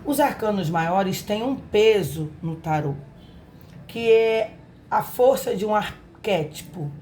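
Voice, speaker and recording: A Brazilian woman with a hoarse voice, giving a casual tarot reading and talking affectionately and directly to her viewers. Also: mid-range voice, lively intonation, slow pace, dark tone, slight background noise. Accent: Brazilian Portuguese. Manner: affectionate, chatty, talks straight to viewers. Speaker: tarot reader, channel host. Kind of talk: casual spiritual reading to camera.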